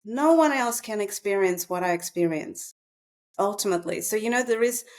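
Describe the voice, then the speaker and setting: A woman speaks as a therapist guest in an unscripted, reflective conversation, haltingly thinking aloud. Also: mid-range voice, animated. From Russia. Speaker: psychotherapist guest.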